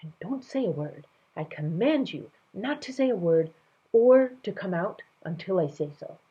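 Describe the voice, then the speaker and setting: A woman's voice: on the low side, lively intonation, normal speed, warm tone, breathy edge, highs cut off, heavily acted. A woman voicing a playful, commanding king, an amused and imperious man who speaks with emphatic, regal decisiveness. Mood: urgent, commanding.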